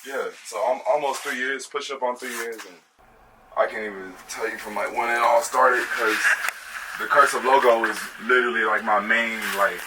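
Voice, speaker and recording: A man's voice: mid-range voice, fairly even intonation, moderate pace. A young American man chatting casually and off the cuff, with a laid-back, slangy way of talking. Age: young adult. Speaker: athlete and clothing brand founder. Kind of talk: casual unscripted chat. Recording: clearly noisy background with clicks.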